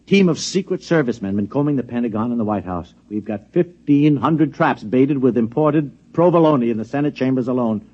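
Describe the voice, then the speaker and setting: A man's voice: medium pitch, animated, fairly quick, hardly any pauses, darkish tone, natural voice. Man playing a nervous, deferential American aide. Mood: earnest, reporting. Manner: hesitant, stammering, apologetic. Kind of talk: 1950s radio drama acting. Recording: dull vintage radio recording.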